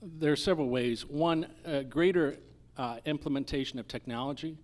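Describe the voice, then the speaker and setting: A man candidate answering at a political forum, halting and often correcting himself. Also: on the high side. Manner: halting, hesitant, frequent self-corrections.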